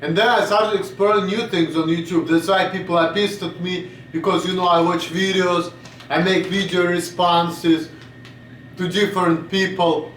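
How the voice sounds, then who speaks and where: A man's voice: sits high, fairly even intonation, normal speed, fairly bright tone, roomy sound, distant mic, a little hiss or hum, rough recording. A man in his late twenties from Russia, with a Russian accent, rambling bluntly in a casual vlog and swearing now and then. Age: 20s. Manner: blunt, swearing, drifts off topic. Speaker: vlogger.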